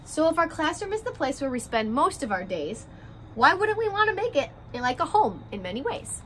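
A woman's voice: high-pitched, very animated, normal speed, a bit bright, slight background noise. A woman in her twenties, a first grade teacher, talking and reading aloud expressively to young children, recorded outdoors on a porch. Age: 20s. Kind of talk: children's read-aloud and direct address to young pupils. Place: outdoors on a porch by glass doors.

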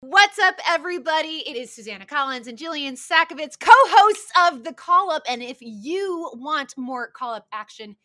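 A woman in her thirties co-hosts a casual podcast over a video call, bubbly and giggly, rambling. Her tone is bright and crisp. The recording is dry. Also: high-pitched, animated, normal speed, few pauses, no echo. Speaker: podcast co-host. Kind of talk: casual chat interview.

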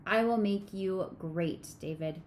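Woman reading a children's story gently. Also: medium pitch, slow, a little hiss or hum. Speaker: children's sermon co-leader. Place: small home room.

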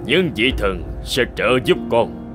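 Old male god, played by a man, cartoon fairy tale: grave, commanding, mid-pitched, varied intonation. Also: very animated, takes it slowly, music in the background. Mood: solemn warning. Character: solemn, authoritative old bearded male sky god.

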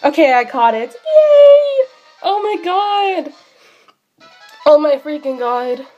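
Young woman, high-pitched, hoarse from illness, excitable, rambling, faint background music. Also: slow, lo-fi sound. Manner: chatty, excitable, rambling with many fillers. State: sick, losing her voice. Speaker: game streamer. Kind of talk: live shiny hunting commentary.

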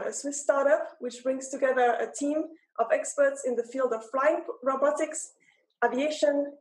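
High-voiced woman in an echoey room. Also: darkish tone. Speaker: event host introducing a panel. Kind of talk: scripted formal introduction. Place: large empty event hall with rows of chairs. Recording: echoey, roomy hall sound.

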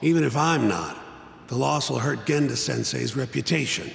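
An actor playing a jaded, exasperated middle-aged lawyer in a video game, blunt and world-weary in manner. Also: male voice, medium pitch, moderate pace, gravelly. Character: jaded, exasperated older male defense lawyer. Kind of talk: video game cutscene acting. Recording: echoey hall reverb, music behind.

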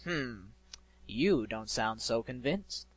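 A man's voice: medium pitch, big pitch swings, fairly bright tone, breathy edge, heavily acted. A man voicing an eloquent, malevolent disembodied male spirit in a storybook, drawing out words in a theatrical coo. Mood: sly, cooing. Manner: drawn-out, theatrical, cooing.

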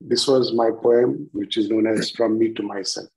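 Deliberate older Indian man reciting poetry. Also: lowish voice, fairly even intonation, gravelly, a little mellow, rough recording. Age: 60s. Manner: deliberate, pausing between short phrases. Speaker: poet reading own work. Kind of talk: introducing and reciting poems. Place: indoors at home on a video call. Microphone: video-call device microphone.

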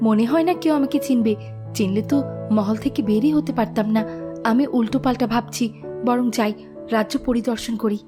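A woman's voice: on the high side, fairly quick, music in the background, natural voice. A woman voicing a young, earnest, plaintive princess in a fairy tale cartoon. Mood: earnest, thoughtful. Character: young princess turned queen, grieving, naive, earnest.

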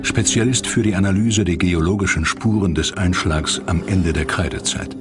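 A man's voice: low voice, normal speed. A man reading a scripted documentary narration as its narrator. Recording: loud music under voice. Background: loud music.

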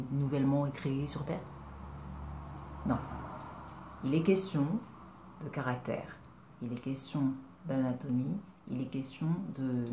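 Low-voiced young woman vlogging to camera. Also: reverberant, noisy background. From Congo. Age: young adult. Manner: earnest, reflective, with many hesitations and rhetorical questions. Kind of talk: personal talk to camera. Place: indoors, small room, plain wall. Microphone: webcam at desk distance.

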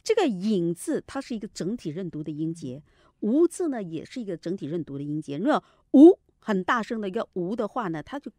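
A woman's voice: medium pitch, very animated, brisk, mellow, dry recording, studio quality. A woman speaking as a teacher giving commentary, instructive and explanatory, repeating her key points. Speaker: Mandarin teacher and contest commentator.

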